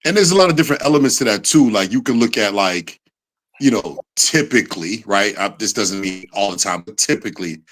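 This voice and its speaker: A man's voice: on the low side, animated, fairly quick, fairly bright tone. A man in his thirties in casual chat, explaining his view thoughtfully with many "like"s.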